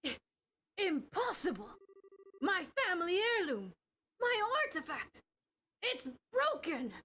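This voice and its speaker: A woman's voice: very high voice, sing-song, slow pace, pushed and bright, full character voice. A woman voicing a pompous, scheming, gloating male mayor, the villain in amateur fantasy game dubbing. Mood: outraged.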